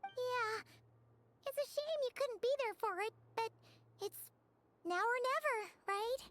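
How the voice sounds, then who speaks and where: Tiny, extremely squeaky fairy companion. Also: female voice, unhurried, fairly bright tone, dry recording. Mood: sympathetic. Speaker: game character voice actor.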